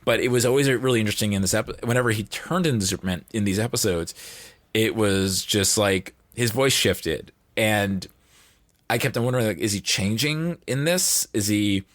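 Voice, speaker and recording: Male podcast guest, lowish voice, dry sound, conversational and meandering. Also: moderate pace, no room sound. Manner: rambling, thinking aloud. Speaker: audio drama producer, guest.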